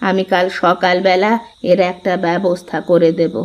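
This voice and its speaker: A woman's voice: on the low side, little pitch movement, normal speed, a little mellow, close to an everyday voice. A woman voicing an elderly, patient mother-in-law in a cartoon, soothing and advising her family. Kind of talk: Bengali cartoon voice acting.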